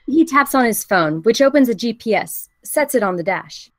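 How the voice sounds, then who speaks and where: A woman's voice: a little high, lively intonation, moderate pace. A woman reading the stage directions of a film script as scripted narration at a table read.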